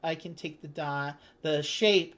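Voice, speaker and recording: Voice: mid-range voice, unhurried, fairly bright tone. A host casually teaching and playing through a board game, chatty and informal, with enthusiastic asides.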